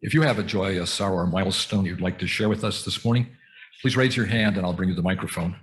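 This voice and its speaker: A man leading the sharing of joys and sorrows in a church service, talking gently and chuckling now and then. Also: low voice, fairly even intonation, fast, a little hiss or hum. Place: church sanctuary.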